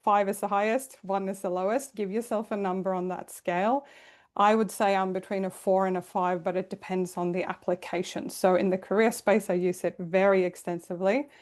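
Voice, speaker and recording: A woman's voice: medium pitch, fairly even intonation, a little fast, darkish tone. Swedish-born woman, middle-aged career coach, chatty and upbeat on a video call webinar, laughs a lot. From Sweden. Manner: upbeat, chatty, welcoming, with frequent light laughs. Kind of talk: live online workshop presentation.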